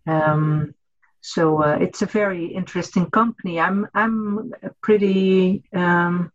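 A Dutch-accented woman in her fifties, a podcast guest, speaking haltingly with many fillers and restarts. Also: lowish voice, steady tone. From Netherlands. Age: 50s. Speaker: guest, cooperative chairwoman. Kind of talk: podcast interview. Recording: dull lo-fi audio.

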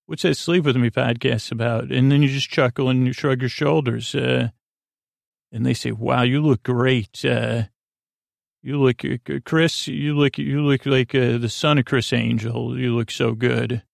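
A man rambles through a goofy monologue meant to help listeners fall asleep. His mid-pitched voice is full of gravelly creak, recorded very dry. He meanders and halts as he goes, as host of the podcast. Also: steady tone, dry recording. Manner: meandering, halting, goofy and silly.

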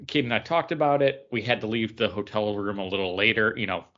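A man's voice: on the low side, fairly even intonation, fast talker, a bit bright, muffled recording. A man chatting casually as a podcast co-host, telling stories in a rambling, meandering way. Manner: rambling storyteller. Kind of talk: casual chat.

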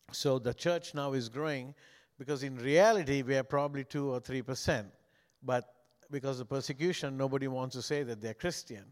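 Older man, from Sri Lanka, English second language, guest pastor, smooth voice, conversational sermon, long hall reverb. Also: mid-range voice. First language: not English. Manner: joking, conversational preaching.

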